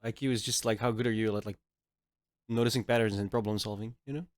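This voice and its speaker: A man's voice: fairly low pitch, steady tone, fast talker, dry recording, clean studio sound. A man hosting a reaction video, talking in a casual, blunt and joking way and laughing often.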